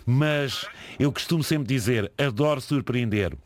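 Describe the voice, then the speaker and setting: A man with a European Portuguese accent hosts an informal radio-style interview. His voice sits at a middle pitch and sounds dry, with little room. He talks effusively and colloquially, dropping in plenty of interjections. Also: a little slow, no echo. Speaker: radio host. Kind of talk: chatty phone interview.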